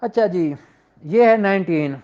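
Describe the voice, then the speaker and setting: Male law lecturer, Pakistani Punjabi accent, high-pitched, conversational and explanatory, dull recording. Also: animated, measured pace. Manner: conversational, poses rhetorical questions, switches into English legal terms. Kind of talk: informal explanatory lecture with examples, reading out statute slowly.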